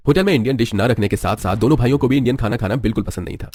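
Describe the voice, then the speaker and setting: A man's voice: mid-range voice, rapid-fire, darkish tone, slightly rough, no echo. A man with an Indian accent narrating a scripted story as a storyteller.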